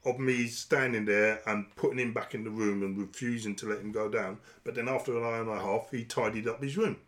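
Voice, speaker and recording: A man, in a candid, earnest conversation, getting firm and louder when he presses a point. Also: steady tone, a little fast. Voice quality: deep voice.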